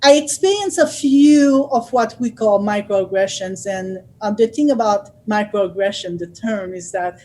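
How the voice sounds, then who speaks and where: Slow-spoken woman. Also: medium pitch, a little mellow. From Haiti. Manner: thoughtful, unhurried, with frequent fillers and restarts. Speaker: author and guest speaker. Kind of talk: personal storytelling.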